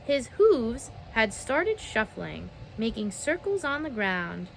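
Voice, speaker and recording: A young adult woman reading a children's picture book aloud, expressive and pausing to ask the listener questions. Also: fairly high pitch, gravelly, slight background noise, rough recording. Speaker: children's story reader.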